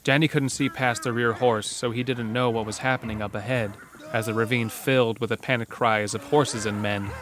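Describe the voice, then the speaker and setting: A man's voice: mid-range voice, fairly even intonation, brisk, hardly any pauses, heavy background noise. A man narrating a scripted western horror story for an audio drama.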